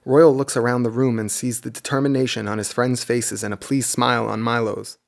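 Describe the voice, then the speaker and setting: A man's voice: medium pitch, level tone, a little fast, gravelly. A man reading a scripted children's story as its narrator. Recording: music behind the voice. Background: background music.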